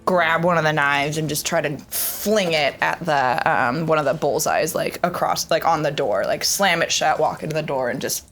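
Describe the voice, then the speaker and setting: A woman playing a tabletop roleplay, describing her character and arguing in character in an animated way. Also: sits low, moderate pace, hardly any pauses, soft background music. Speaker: player.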